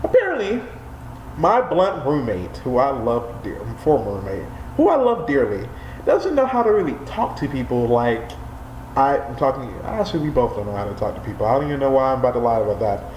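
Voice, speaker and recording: American man in his twenties, very lively intonation, exaggerated casual comic storyteller, roomy sound, indoor webcam recording. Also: medium pitch, brisk, lo-fi sound. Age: 20s. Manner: animated, exaggerated, profane. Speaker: storyteller vlogger. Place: small room indoors, on a couch. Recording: roomy echo, faint background noise.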